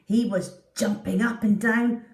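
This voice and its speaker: A woman's voice: a little high, fairly even intonation, unhurried. A woman reading a children's story aloud with theatrical, dramatic delivery. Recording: echoey room, distant from the mic.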